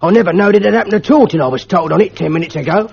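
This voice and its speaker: Old male school porter, deferential but stubborn, rough dialect; played by a man. Raspy, fairly high. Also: very fast, darkish tone, exaggerated voice. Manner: ungrammatical dialect, deferential, keeps saying "sir". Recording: lo-fi old archive recording.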